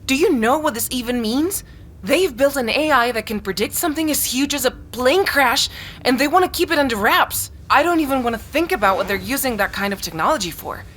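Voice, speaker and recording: A woman's voice: sits high, expressive, brisk, fairly bright tone, slight background noise. An actress playing an earnest young woman who explains her suspicions insistently, in naturalistic audio drama dialogue. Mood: urgent, suspicious. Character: earnest young woman convinced of a tech conspiracy.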